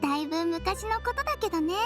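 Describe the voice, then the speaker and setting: A woman voicing a gentle little fairy-like nurse in an anime game, speaking in a soft, composed, girlish way. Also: extremely high pitch, lively intonation, fast talker. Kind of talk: anime game dialogue and fairy-tale storytelling. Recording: music behind the voice.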